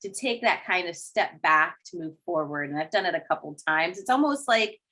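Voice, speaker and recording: Interview host: woman with a bright, forceful voice, encouraging and affirming. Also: lowish voice, expressive, moderate pace. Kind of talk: casual interview talk.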